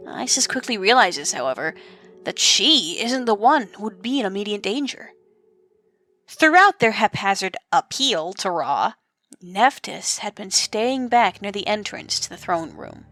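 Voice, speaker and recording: A woman narrator telling an audio-drama story in an expressive, suspenseful storytelling manner. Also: mid-range voice, a little slow, dry recording. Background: music.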